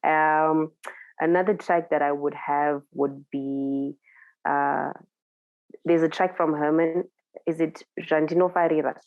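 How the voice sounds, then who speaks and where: Zimbabwean woman DJ, deep voice, unhurried and reflective, dry close lo-fi recording. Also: steady tone, no echo. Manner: thoughtful, searching for words, laughs easily. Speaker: DJ guest. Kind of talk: casual interview.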